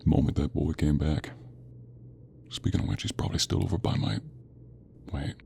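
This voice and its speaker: A man playing a gruff, stern but protective monster hunter in an audio roleplay, with a gravelly, murmuring delivery. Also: steady tone, normal speed, a little mellow, a little hiss or hum, heavily acted. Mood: apologetic, explaining. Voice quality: gravelly, very low, creaky. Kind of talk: audio roleplay acting addressed to the listener.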